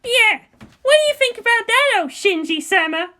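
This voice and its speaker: Woman voicing a high-pitched, fiery young female pilot. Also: lively intonation, moderate pace, heavily acted. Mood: scornful, defiant. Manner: sharp, challenging, scornful.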